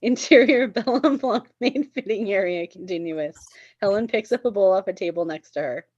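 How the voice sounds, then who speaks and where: A woman's voice: mid-range voice, brisk, a little mellow, dry recording, lo-fi sound. A woman reading screenplay stage directions as the narrator of a table read.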